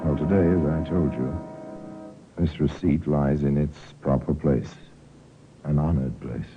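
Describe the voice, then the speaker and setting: Super deep brooding crime narrator, wry and slow-burn, old tinny radio show. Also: male voice, steady tone, over music. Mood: wry, grave. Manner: brooding, deliberate, sardonic. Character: grave, wry male storyteller of crime cases. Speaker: narrator and host. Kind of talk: 1950s radio drama narration.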